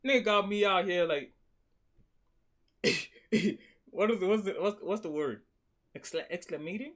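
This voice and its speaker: A man with a South African accent, hosting a reaction video in excitable, slangy casual chat. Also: sits high, a little slow. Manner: excitable, slangy, exclaims often.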